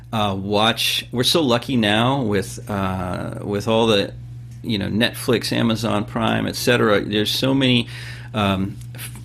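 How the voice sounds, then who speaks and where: Low gravelly man explaining at length. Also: fairly bright tone, a little hiss or hum. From California. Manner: thoughtful, explains at length with many fillers. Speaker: podcast guest and host of his own show. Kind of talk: casual interview talk.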